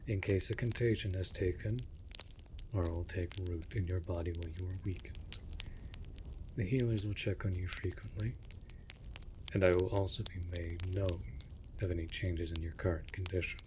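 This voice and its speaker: A man playing a protective vampire master in a roleplay audio drama, speaking formally and gently, often dropping to a breathy murmur. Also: deep voice, fairly even intonation, normal speed, background noise, close to an everyday voice. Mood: calm, reassuring. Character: courtly, protective male vampire master of a manor. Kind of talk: scripted roleplay audio drama addressed to the listener.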